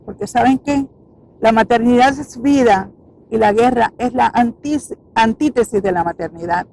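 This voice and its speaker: A woman's voice: a little high, moderate pace, darkish tone, slight background noise, low-quality recording. A Puerto Rican woman who founded an activist group, giving an earnest, emphatic speech to the camera outdoors in front of a building. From Puerto Rico.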